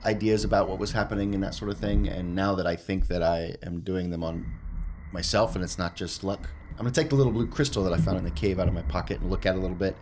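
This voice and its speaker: A man with a very deep voice talks casually as a player in a tabletop game. Clear noise runs through the recording. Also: fairly even intonation, fast talker. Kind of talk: casual roleplay chat.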